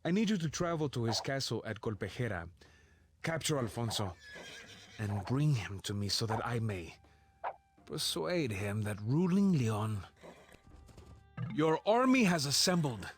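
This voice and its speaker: A man's voice: medium pitch, sing-song, a little slow, pauses often, faint music behind. An actor playing a hearty, commanding medieval king in a game, speaking in a grand, theatrical way. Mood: confiding, commanding.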